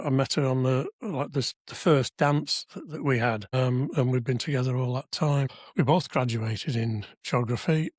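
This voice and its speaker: A man in his seventies from Wales with a slightly husky voice, chatting through memories in an anecdotal way with dry asides. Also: medium pitch, no room sound. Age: 70s. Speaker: podcast guest, civic society member. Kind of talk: conversational reminiscence. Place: podcast studio.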